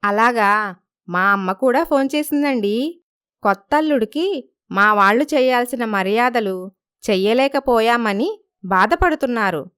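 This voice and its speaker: Woman playing a polite, clear-voiced young wife. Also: on the high side, lively intonation, measured pace, long pauses, a bit bright. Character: polite, attentive young daughter-in-law.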